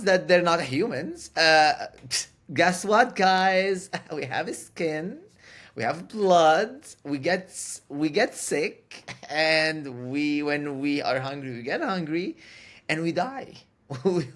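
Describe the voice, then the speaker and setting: A man's voice: fairly high pitch, a bit bright. A middle-aged man from Lebanon, an interview guest who speaks candidly and repeats phrases for emphasis.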